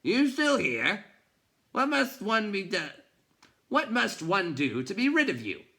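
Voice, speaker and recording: A man voicing a cross, gruff old storybook bookseller who grumbles and scolds with blustery scorn. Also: sits high, wildly swooping pitch, takes it slowly, pushed and bright, voice pushed up, full character voice. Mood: cross, scolding. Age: older. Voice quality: gruff, growling.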